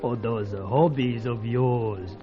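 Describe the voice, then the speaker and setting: An actor playing a courtly, guarded South American cabaret owner in a radio serial, formal and hesitant, with a Latin American accent. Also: male voice, mid-range voice, slow pace, lightly acted. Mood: smooth, insinuating. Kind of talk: old-time radio serial acting. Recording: muffled radio serial with clear music.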